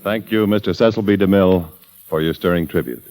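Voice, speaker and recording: A man's voice: low-pitched, brisk, a little mellow, soft background music, rough recording. A man working as the announcer of a 1940s radio broadcast, giving a formal, polished sponsor read. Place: radio studio.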